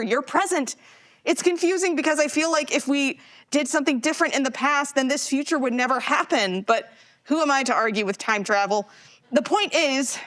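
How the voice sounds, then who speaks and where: A woman's voice: high voice, a bit bright. A woman playing a wide-eyed, earnest young time traveler in a live audio drama, with an eager, slangy, sincere way of talking.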